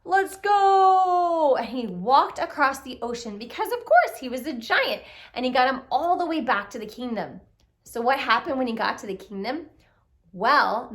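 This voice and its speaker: A woman telling a children's puppet story in an expressive way, speaking directly to young listeners with questions and exclamations. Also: a little high. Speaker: storyteller, puppet show reader.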